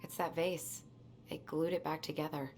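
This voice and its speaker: An actress playing an earnest, subdued young woman in a video game, soft-spoken and conciliatory. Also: low-pitched, level tone, moderate pace, soft background music. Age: young adult. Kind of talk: naturalistic video game acting.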